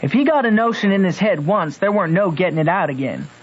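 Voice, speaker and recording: A man's voice: high voice, animated, quick pace, a little hiss or hum. A man playing Huck's part as a plainspoken backwoods boy with a Southern US accent, telling his adventures in a folksy, matter-of-fact way for a radio drama. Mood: earnest. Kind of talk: old-time radio drama narration and dialogue. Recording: muffled vintage radio recording, music behind.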